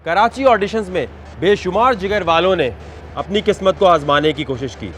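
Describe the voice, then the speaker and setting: A man hosts a dare reality show in a fairly high, stern voice, with a mocking edge toward the contestants. Loud music plays under his speech throughout. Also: lively intonation, normal speed.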